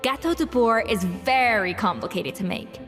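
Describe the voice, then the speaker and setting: A woman playing a self-assured, exacting young head chef in a video game, speaking in a crisp, composed manner. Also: a little high, lively intonation, moderate pace, fairly bright tone. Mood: composed, stern. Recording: reverberant, with music behind.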